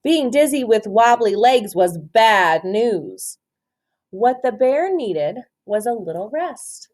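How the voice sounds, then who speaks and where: A woman doing an interactive picture-book read-aloud for children, expressive and often asking questions. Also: on the high side. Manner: expressive, asks questions and pauses for answers. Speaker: storytime reader, teacher.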